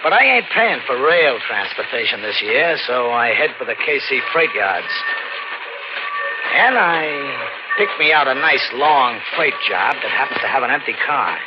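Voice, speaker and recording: A man's voice: mid-range voice, very animated, moderate pace, very noisy, natural voice. An actor playing a scrappy, broke young male drifter in an old-time radio drama, talking in slangy, colloquial style. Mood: breezy, slangy. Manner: colloquial, slangy hard-boiled narration. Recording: muffled vintage radio recording. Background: loud dramatic music.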